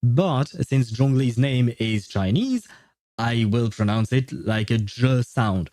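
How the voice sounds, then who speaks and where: Mellow-voiced man chatting on a livestream. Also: mid-range voice, slow pace, dry recording. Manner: chatty, chuckles often, hesitant rambling. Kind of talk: casual livestream chat, replying to viewers.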